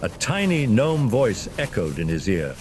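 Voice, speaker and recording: A man narrating a scripted fantasy story as a dramatic, expressive storyteller. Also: a little slow. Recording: loud ambient rumble behind narration.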